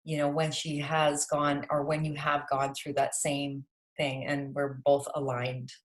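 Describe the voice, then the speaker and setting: A woman's voice: low voice, monotone, unhurried. A woman in her late forties from Canada, a health coach talking as a podcast guest in a chatty way with many fillers. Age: late 40s.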